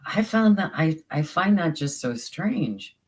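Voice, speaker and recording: A livestream host with a soothing voice, chatting casually with viewers while crafting, halting and restarting often. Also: medium pitch, sing-song. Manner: slow and halting, with many repeated starts.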